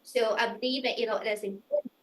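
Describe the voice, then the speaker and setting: A woman's voice: on the high side, fast talker, fairly bright tone. Brief Young woman from China, earnest contestant over a video call in a roomy space. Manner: earnest, searching, filler-heavy phrasing. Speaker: pageant contestant. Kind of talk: mock interview answers.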